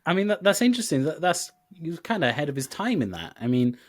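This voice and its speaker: A man's voice: a little high, big pitch swings, fast talker, no room sound. A man hosting a sports podcast, asking questions and encouraging his guest. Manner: upbeat, encouraging interjections. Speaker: podcast host. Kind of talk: casual interview chat.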